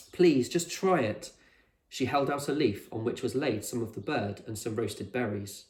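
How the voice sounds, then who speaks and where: Male storybook narrator, mid-pitched, teacherly read-aloud in a reverberant room. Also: a little mellow. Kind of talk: scripted storybook narration for children. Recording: echoey room.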